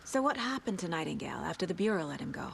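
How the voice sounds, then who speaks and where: Female FBI investigator, video game. Low, gravelly, measured, probing delivery. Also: big pitch swings, a little hiss or hum, exaggerated voice. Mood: probing, businesslike. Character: composed, inquisitive female FBI agent investigating a murder. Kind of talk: video game dialogue and brooding inner monologue.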